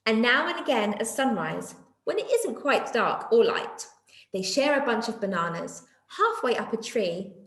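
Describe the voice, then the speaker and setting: High, animated woman reading to children. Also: normal speed. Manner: addresses young children with questions. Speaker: schoolteacher reading stories to children. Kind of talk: expressive children's story reading. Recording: echoey room.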